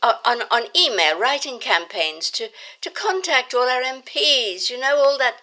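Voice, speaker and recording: A woman's voice: high-pitched, lively intonation, moderate pace, pushed and bright. A woman playing an earnest, naive young activist granddaughter in an audio drama, stammering and hesitating in her eagerness. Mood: eager, flustered.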